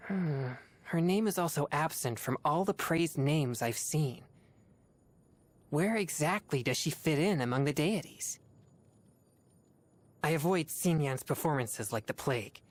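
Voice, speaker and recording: A woman voicing an earnest, formal teenage boy exorcist in a video game, speaking in a measured way. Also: low-pitched, expressive. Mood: thoughtful. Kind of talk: video game character voice lines.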